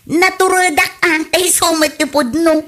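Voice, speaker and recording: A woman's voice: extremely high pitch, steady tone, unhurried, pushed and bright, exaggerated voice. A woman acting a teasing, opinionated gossiping friend in a comedy radio drama.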